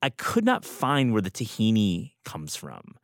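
A man's voice: fairly low pitch, huge pitch swings, a little slow, no echo, clean studio sound. A man hosting a casual food chat, talking in a slangy, enthusiastic way. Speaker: podcast host.

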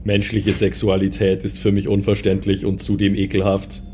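A man's voice: sits low, monotone, normal speed, warm tone, a little hiss or hum, lightly acted. A man voicing a stiff, disdainful killer robot in an improvised audio drama, speaking formally. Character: prim, disgusted killer robot demanding professionalism.

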